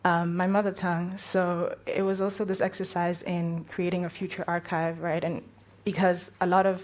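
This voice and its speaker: A woman's voice: lowish voice, level tone, normal speed. A woman on a live panel, speaking thoughtfully and searching for words as she reflects.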